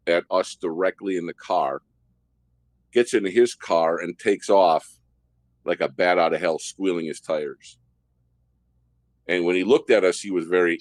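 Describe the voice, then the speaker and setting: A man hosting a podcast, telling a detailed story about his undercover work in a steady way. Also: low-pitched, no room sound. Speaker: host, former undercover officer.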